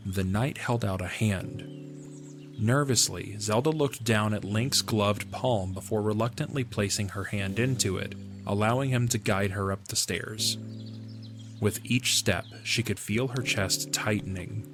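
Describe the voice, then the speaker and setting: A man's voice: fairly low pitch, measured pace. A man reading scripted narration for a fantasy audio drama. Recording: music under the voice. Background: music.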